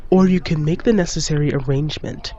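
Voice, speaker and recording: Higher-pitched, frank starship crewman, acted, slightly dull recording. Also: fast, dark tone, lightly acted. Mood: firm, exasperated. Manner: direct, plain-spoken, persuasive. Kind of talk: sci-fi audio drama.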